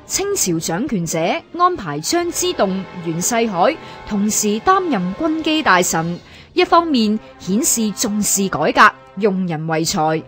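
A woman's voice: mid-range voice, a bit bright. A woman with a Hong Kong Cantonese accent reading a scripted documentary narration. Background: music.

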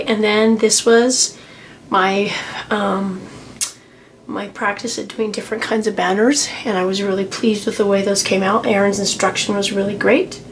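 A middle-aged woman with a hoarse voice from a cold, chatting casually as she walks through her journal pages, with lots of ums and restarts. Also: medium pitch, slight background noise. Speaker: journaling vlogger. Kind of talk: casual tutorial walkthrough. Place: home desk, camera over a tablet.

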